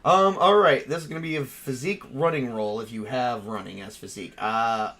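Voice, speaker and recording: A man casually narrating a tabletop role-playing game as game master, excitable and quick to swear. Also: mid-range voice.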